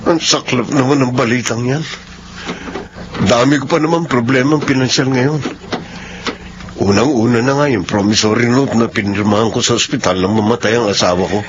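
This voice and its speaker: A man's voice: medium pitch, normal speed, natural voice. An actor playing a beleaguered middle-aged widowed father, earnest and emotive, in a radio drama. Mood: worried. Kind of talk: melodramatic radio drama acting. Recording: dull radio broadcast with faint noise.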